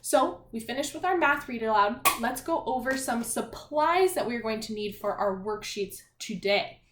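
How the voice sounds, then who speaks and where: A woman teacher talking young children through a read-aloud and math lesson in an animated, encouraging, sing-song way. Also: fairly high pitch, normal speed. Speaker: primary school math teacher. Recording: echoey room, faint background noise.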